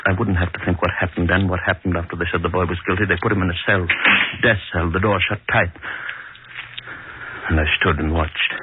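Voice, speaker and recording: Male, actor as grim prison hangman, very deep, monotone, muttering, haunted, vintage radio horror, narrow-band sound. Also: a little fast, lightly acted. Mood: haunted brooding. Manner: muttering, terse repeated fragments. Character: morbid, brooding male prison hangman confessing his guilt. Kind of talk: 1940s radio horror acting, haunted narration. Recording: noisy, narrow-band 1940s radio recording.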